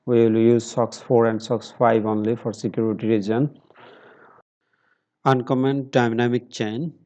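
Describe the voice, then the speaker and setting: Slow, steady man walking through a tutorial. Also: mid-range voice. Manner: slow, step-by-step instructions with pauses and fillers. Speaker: tutorial presenter. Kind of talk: screen-recorded tech tutorial.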